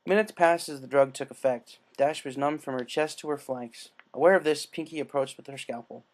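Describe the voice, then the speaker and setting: A man's voice: on the high side, fairly quick. A man casually introducing and narrating fan fiction as its reader.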